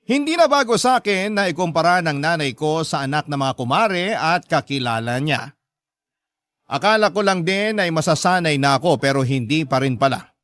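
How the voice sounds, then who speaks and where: A man's voice: on the high side, expressive, normal speed, a bit bright, no room sound. Dry-recorded male story narrator. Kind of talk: first-person scripted radio drama narration.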